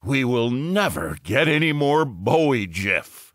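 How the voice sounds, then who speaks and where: A terse executive, put on by a performer in an audio story. The voice is sharp and forceful, suspicious and clipped, with lively intonation. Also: mid-range voice, slow pace, voice pushed up.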